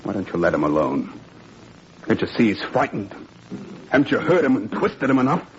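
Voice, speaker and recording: A man's voice: on the low side, sing-song, moderate pace. An actor playing an anguished but defiant father in a 1940s radio drama, earnest and weary. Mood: bitterly defiant. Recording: muffled, hissy 1940s radio recording.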